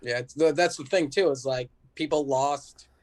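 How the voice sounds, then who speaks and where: A man's voice: fairly high pitch, moderate pace, a little mellow. An American man from Chicago, a band musician chatting casually as a podcast guest, rambling along with plenty of "like" and "you know". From Chicago, United States.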